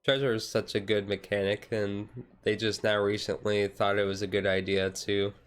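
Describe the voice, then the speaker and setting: A man streamer chatting casually in a chill, low-key livestream, rambling along with hesitant pauses. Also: flat delivery, clean tone. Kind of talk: casual chat, ASMR-style chill livestream.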